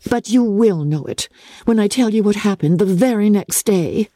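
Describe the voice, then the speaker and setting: A woman voicing a witty, candid, spirited young English gentlewoman in a novel reading, with a wry, teasing, articulate manner. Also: medium pitch, moderate pace, no echo, lightly acted. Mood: anxious candour. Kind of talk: dramatic classic novel reading.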